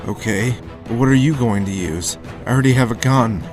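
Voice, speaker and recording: A man playing a haunted, sardonic drifter in a dark horror audio drama, moving between first-person narration and dialogue. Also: mid-range voice, a little fast, close to an everyday voice. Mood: uneasy, sardonic. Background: background music.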